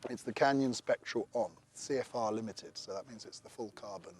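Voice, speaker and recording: A man's voice: fairly low pitch, brisk, husky. A man who is losing his voice, chatting casually through a bike review to camera with rambling asides.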